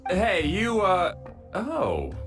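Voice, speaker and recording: A woman's voice: fairly low pitch, wildly swooping pitch, very slow, faint music behind, full character voice. A woman voicing a brash, offhand young male caretaker in a video game, with a slangy, casual manner. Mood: surprised, scornful.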